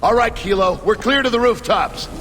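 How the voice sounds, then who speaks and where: Gruff, animated soldier squad leader. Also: male voice, high voice, moderate pace, slight background noise. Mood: commanding. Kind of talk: video game character dialogue.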